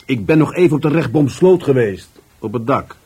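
A male actor playing a bossy, sardonic police adjutant, gruff and deadpan, in a radio play. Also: medium pitch, brisk. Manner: gruff, deadpan, pompous with subordinates.

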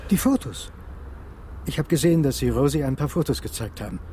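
A man's voice: mid-range voice, expressive, brisk, dark tone, slight background noise, natural voice. An actor playing a wary local man who speaks in a guarded, clipped way, in radio drama style. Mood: guarded, conspiratorial.

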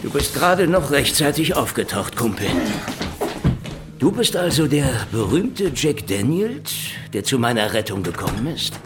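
An actor playing a cynical, sardonic, world-weary private detective in a radio play. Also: male voice, medium pitch, sing-song, moderate pace, noisy background, close to an everyday voice. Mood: taunting, sardonic. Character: cynical, hard-boiled male private detective, tough and sardonic. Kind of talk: hard-boiled radio play narration and dialogue. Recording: music behind the voice.

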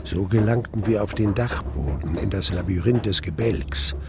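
An actor playing a wistful man recounting his past love as narrator of a German radio play, in measured, literary standard German. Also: deep voice, creaky, very noisy. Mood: wistful, tense.

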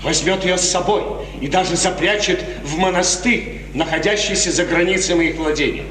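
Condescending ruling prince, classical radio tragedy, 1950s recording with echoing hall and faint hiss. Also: male voice, high voice, normal speed, a bit bright. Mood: anxious, doubting. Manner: theatrical, aristocratic. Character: anxious, self-centred, graciously condescending ruling prince.

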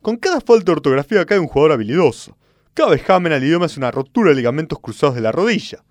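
A man plays a pompous Argentine football club boss with a taste for grand words. The voice is fairly high and very animated, in comic radio-sketch style. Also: dry recording. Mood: pompous, poetic. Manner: pompous, halting then grandiloquent. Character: pompous, eccentric football club president, lover of fine words. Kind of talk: radio sketch comedy acting.

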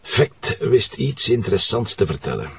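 An actor with a Flemish accent playing a blunt, probing police commissioner in naturalistic radio drama dialogue. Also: male voice, lowish voice, very animated, moderate pace, vocal fry, sharp tone, breathy edge, distant mic, heavily acted.

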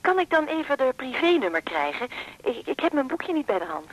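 A woman's voice: sits high, sing-song, a little fast, rough recording. An actress playing an evasive but insistent woman caller in a radio drama, tentative and ill at ease. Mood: evasive, uneasy. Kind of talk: naturalistic radio drama dialogue.

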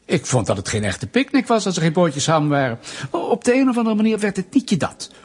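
Casting: smug Soviet interrogator, radio play. Husky, animated pitch, needling mock politeness, quiet menace. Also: male voice, a little fast, exaggerated voice. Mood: falsely casual. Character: smug, menacing male Soviet state interrogator toying with suspect. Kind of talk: radio drama acting.

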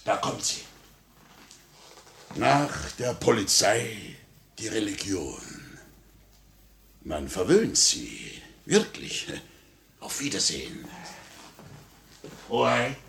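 An actor playing an urbane, cynical tsarist police chief in 1950s radio drama style, suave and insinuating. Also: male voice, fairly low pitch, big pitch swings, drawn-out words, vocal fry, pauses often, a bit bright, exaggerated voice. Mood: smooth, mocking.

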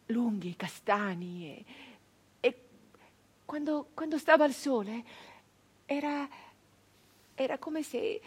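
A dreamy, tender peasant woman tells of her girlhood in a theatrical radio monologue. Her voice sits fairly high with a husky, breathy grain. She repeats words haltingly, moving between hushed confiding and fervent wonder. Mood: tender, wistful. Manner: halting repetitions, dropping into breathy whispers and swelling to fervent cries. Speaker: stage actress in a one-woman monologue. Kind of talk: theatrical radio monologue, dramatic first-person storytelling.

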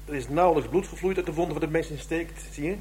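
A man's voice: fairly high pitch, quick pace, darkish tone, a little hiss or hum, close to an everyday voice. A man playing a businesslike, observant police detective in a Dutch radio crime drama, speaking in a matter-of-fact way.

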